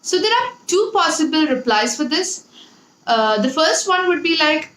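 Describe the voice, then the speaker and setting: High-pitched young woman, echoey vlog. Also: big pitch swings, moderate pace, a bit bright. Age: young adult. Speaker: YouTube host giving interview tips. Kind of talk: casual scripted advice vlog. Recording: echoey room with background noise.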